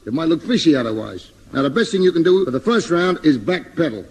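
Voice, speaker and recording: A man's voice: on the high side, animated, quick pace, darkish tone. A man playing a bossy, shady boxing manager in a 1950s radio sitcom, brusque and impatient. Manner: brusque, commanding. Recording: very noisy, reverberant old radio recording.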